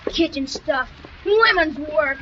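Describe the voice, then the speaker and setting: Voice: high voice, expressive, takes it slowly. A performer playing a bratty kid brother, whiny and sarcastic, in 1940s radio comedy style. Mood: whiny complaining. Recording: muffled old radio recording with hiss.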